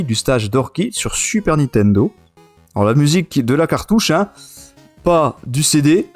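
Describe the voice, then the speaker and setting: A man's voice: mid-range voice, darkish tone. A man in his thirties hosting a casual podcast chat, enthusiastic and often repeating himself. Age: 30s. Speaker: podcast and web radio host. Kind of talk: casual chat, reminiscing about retro gaming.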